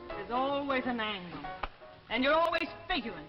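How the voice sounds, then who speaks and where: High, swooping, sardonic girlfriend. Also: sing-song, normal speed. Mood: sarcastic, fed up. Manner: slangy, sarcastic, taunting. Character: sardonic, mocking, impatient girlfriend of a young hustler. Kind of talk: 1950s television drama acting. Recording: muffled 1950s broadcast, music behind.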